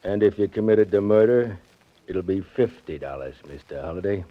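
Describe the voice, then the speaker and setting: An actor playing a shady flophouse desk clerk with smug, mock-courteous manners in a 1940s radio crime drama. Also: male voice, low-pitched, expressive, normal speed. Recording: muffled vintage 1940s radio recording.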